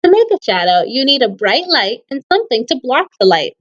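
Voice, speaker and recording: A woman's voice: high-pitched, very animated, a little fast, highs cut off. A woman teacher reading children's poems aloud and explaining things to young listeners, often putting questions to them. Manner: addresses children with frequent questions.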